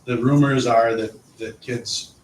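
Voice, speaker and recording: A man's voice: mid-range voice, steady tone, takes it slowly. A man speaking as a school administrator at a meeting, informally explaining things and often repeating his phrases as he goes. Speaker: school administrator reporting to a board. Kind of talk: informal discussion at a public meeting. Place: indoor meeting room. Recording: echoey room, distant mic, faint noise.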